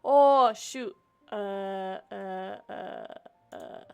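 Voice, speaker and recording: A woman's voice: mid-range voice, drawn-out words, creaky, a little mellow, smooth. A woman streamer giving casual, rambling, self-deprecating commentary as she plays a game. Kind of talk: casual live commentary over gameplay. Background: game music.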